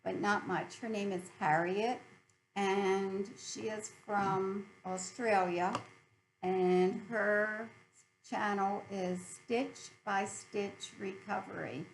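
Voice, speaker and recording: A woman's voice: mid-range voice, fairly even intonation, takes it slowly, slight background noise, poor audio. A middle-aged American woman chatting casually and cheerfully to viewers as host of a hobby video channel.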